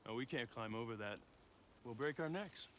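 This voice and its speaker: A man's voice: mid-range voice, lively intonation, normal speed, fairly bright tone, slight background noise, close to an everyday voice. A man in his thirties playing an uneasy young father, asking tense, wary questions outdoors in a forest, with film music behind. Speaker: film character. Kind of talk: film acting.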